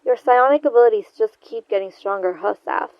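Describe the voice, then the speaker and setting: An actress playing a confident, dutiful psionic agent and sister in a sci-fi audio drama, composed and assured. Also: female voice, fairly high pitch, a little fast, lo-fi sound, background noise, lightly acted. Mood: admiring.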